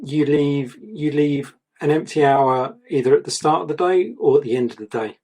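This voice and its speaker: A man reviewing an artist's portfolio, talking in a rambling, repetitive way, his voice going a little croaky. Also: on the high side, normal speed, darkish tone. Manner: rambling, repeats words, gives advice. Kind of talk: casual mentoring chat.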